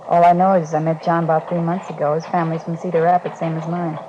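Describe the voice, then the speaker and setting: A trusting young woman played by an actress in a 1950s radio crime drama. She speaks earnestly in a fairly low voice, chatty and naive, on a dull old recording with noticeable noise. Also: little pitch movement, quick pace, natural voice. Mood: fond, earnest.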